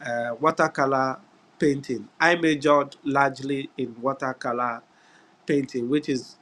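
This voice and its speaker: A middle-aged man from Ghana with a Ghanaian English accent, giving an unhurried webinar talk over a video call, often pausing on "uh" and "yeah". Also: medium pitch, steady tone, a bit bright. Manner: unhurried, repeats words, frequent "uh" and "yeah". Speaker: guest speaker. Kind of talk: webinar presentation.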